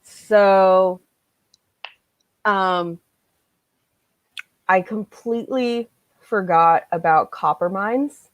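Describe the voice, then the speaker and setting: A woman around her thirties chatting casually on a video call, acting out her reactions and quotes in an animated way. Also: medium pitch, drawn-out words, pauses often, lo-fi sound. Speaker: co-host of a book discussion. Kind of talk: casual chat. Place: small room at home, on a video call.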